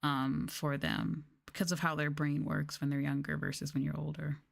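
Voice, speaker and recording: Sleepy, low-voiced woman chatting casually. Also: flat delivery, moderate pace, vocal fry. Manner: drowsy, informal, sighing. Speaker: livestreamer, VTuber. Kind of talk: sleepy casual chat on an ASMR livestream, reading and answering viewers.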